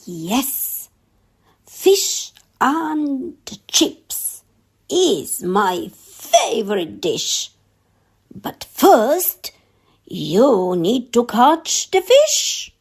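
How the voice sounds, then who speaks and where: A woman plays a hungry storybook king, a decisive and helpful man. He gives orders very slowly and deliberately, with a lively, commanding rise and fall. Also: sits high, slightly rough, full character voice. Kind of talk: children's storybook character voice.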